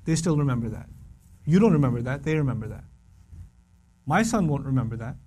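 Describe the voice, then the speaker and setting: A man's voice: medium pitch, expressive, a little fast, dark tone, breathy edge. A man giving an earnest, conversational religious talk to young people, often repeating phrases for emphasis. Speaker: Islamic speaker.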